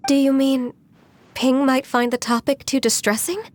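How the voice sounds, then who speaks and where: Woman doing earnest young game lady, bit high pitched, super polite n careful. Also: fairly even intonation, normal speed, lightly acted. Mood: tentative, respectful. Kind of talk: video game voice acting.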